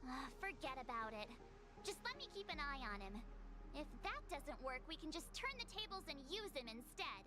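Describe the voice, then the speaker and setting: Casting: spirited young girl detective for an anime game, very high and animated, youthful, protective. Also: normal speed. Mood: reluctant. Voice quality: youthful, girlish. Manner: expressive, openly emotional. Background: background music.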